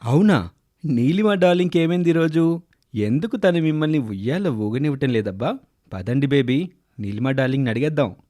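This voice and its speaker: A man voicing a kind, doting owner of an old-age home, coaxing and affectionate, in a children's animated story. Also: on the high side, moderate pace, close to an everyday voice.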